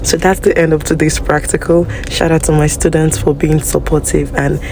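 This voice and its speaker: A biology teacher, a woman with a Nigerian accent, hoarse and raspy from a flu, narrating a lab practical in a chatty, apologetic way. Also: sits low, level tone, moderate pace, background noise. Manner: chatty and apologetic, with many fillers. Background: background music.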